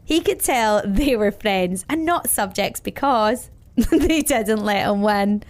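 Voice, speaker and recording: A woman narrating a children's story as an expressive storyteller. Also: mid-range voice, slow.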